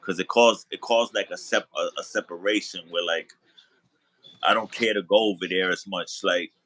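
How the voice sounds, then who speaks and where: A man from the Bronx hosting a casual video chat from his living room sofa. He talks in a relaxed way, repeats and restarts his phrases, and laughs easily. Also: sits low, normal speed, low-quality recording. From the Bronx, New York. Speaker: host.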